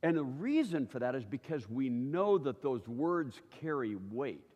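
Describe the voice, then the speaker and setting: A man's voice: mid-range voice, very animated, measured pace, darkish tone, muffled recording. A man in his sixties teaching at church in a conversational way, often checking in with his listeners. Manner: chatty, keeps checking in with listeners. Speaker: preacher. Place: church hall. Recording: long reverb of a church hall.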